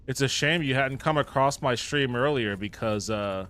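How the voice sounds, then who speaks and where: A man's voice: mid-range voice, normal speed, fairly bright tone, clear, resonant tone. A man raised in Japan, live-streaming a game and chatting casually with his viewers. He is upbeat, thanks people by name and swears casually. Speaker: livestreamer.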